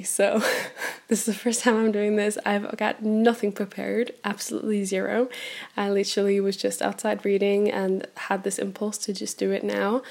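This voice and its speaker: A woman's voice: mid-range voice, vocal fry, darkish tone. Brief: twenties woman, German native speaker, candid rambling host, phone-recorded. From Germany, raised in Portugal. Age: 20s. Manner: chatty and candid, frequent ums and laughs. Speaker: podcast host. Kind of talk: unscripted solo podcast. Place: indoors, sitting on the floor.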